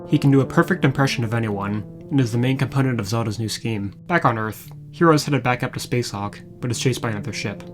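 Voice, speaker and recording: A man narrating an episode recap as a reviewer, with wry, sarcastic asides. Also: medium pitch. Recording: music playing behind the voice. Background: background music.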